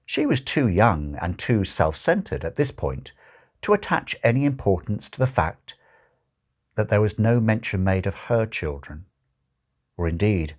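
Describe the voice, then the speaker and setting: A man narrating a comic novel as an audiobook, with wry, expressive storytelling. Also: low-pitched. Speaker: audiobook reader.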